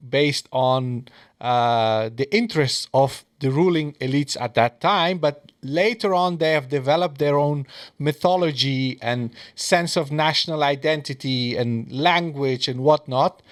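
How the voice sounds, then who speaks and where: A man's voice: a little high, smooth, dry recording, studio quality. A man hosting an interview, speaking in a hesitant, reflective way with many filler sounds. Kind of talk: conversational interview talk. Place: Europe.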